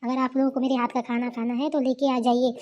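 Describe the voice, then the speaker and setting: A woman's voice: high-pitched, flat delivery, quick pace, warm tone, dull audio, slight background noise, lightly acted. A woman voicing a defiant, complaining young daughter-in-law in a comedy cartoon. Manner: indignant, complaining, talks back. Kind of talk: Hindi cartoon family comedy dubbing.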